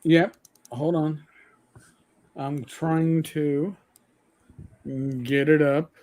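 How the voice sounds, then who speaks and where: A man's voice: a little high, little pitch movement, extremely slow, a little mellow. A middle-aged man co-hosting a gaming livestream, rambling with stammered restarts and the odd swear. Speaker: livestream co-host. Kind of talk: casual gaming chat.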